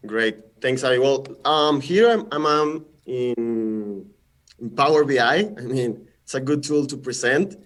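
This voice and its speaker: Male guest presenter, Spanish speaker, average pitch, casual and halting on a call mic. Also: a bit bright. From Mexico. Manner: friendly, halting, often repeats words. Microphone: video call.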